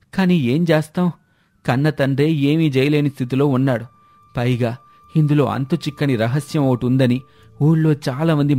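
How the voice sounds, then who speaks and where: A man's voice: on the high side, a little mellow, natural voice. A man playing a cautious village friend in a moral story, earnest and worried as he speaks. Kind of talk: dramatised moral story dialogue.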